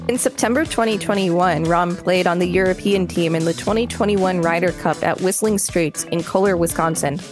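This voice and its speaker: A woman's voice: lowish voice, moderate pace, few pauses, music in the background. A woman co-hosting a show, reading an article aloud in a narrator's style with light, joking chat in between. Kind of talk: read-aloud narration with casual banter.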